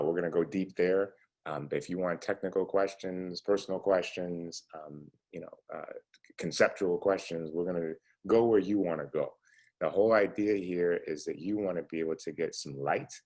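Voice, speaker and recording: A man's voice: low voice, darkish tone. A man in his forties from the States giving a casual talk to students, chatty and full of "you know" and "right?". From United States. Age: 40s. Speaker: entrepreneur guest speaker.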